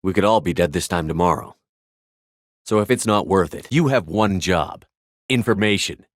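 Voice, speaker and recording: Tough space soldier hero for a video game, deep voice, blunt and commanding, super dry studio sound. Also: male voice, expressive, normal speed, no room sound. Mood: stern, threatening. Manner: firm, clipped, authoritative delivery. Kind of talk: scripted video game voice acting.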